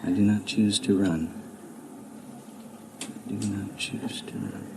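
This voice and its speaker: Low, even-voiced male quiz contestant. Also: slow pace. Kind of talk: thinking aloud on a quiz. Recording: noisy 1950s radio recording.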